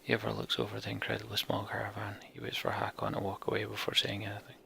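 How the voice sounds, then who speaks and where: Gravelly, low man narrating dramatically. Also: completely flat delivery, fast talker, sharp tone, a little hiss or hum. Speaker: let's play narrator. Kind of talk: dramatic storybook narration of a game's text.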